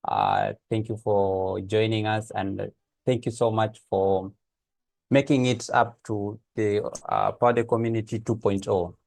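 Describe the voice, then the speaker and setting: A man's voice: on the low side, lively intonation, measured pace, vocal fry, a little mellow, no echo. A man hosting an online meeting and greeting participants, with many fillers as he talks. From Kenya. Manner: friendly, hesitant with many uh fillers. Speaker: host of online office hours. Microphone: computer mic on a video call.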